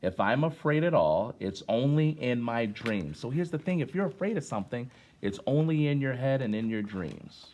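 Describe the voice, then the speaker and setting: A middle-aged man with an American accent hosts story time for children. His voice sits at an average male pitch. He speaks in a conversational, teacherly way, addressing young listeners directly as he shares a poem. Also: sing-song, normal speed, a little mellow. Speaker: guest storyteller, former teacher.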